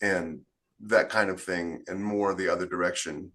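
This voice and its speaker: Man, low-to-middle pitch, bright forceful tone, informal, emphatic, casual chat. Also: steady tone, moderate pace. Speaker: podcast co-host and author.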